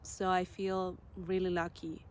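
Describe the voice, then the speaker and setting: Slow, low-voiced woman from Chile. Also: little pitch movement. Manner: slow, reflective, searching for words with soft pauses. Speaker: podcast host. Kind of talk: personal storytelling monologue.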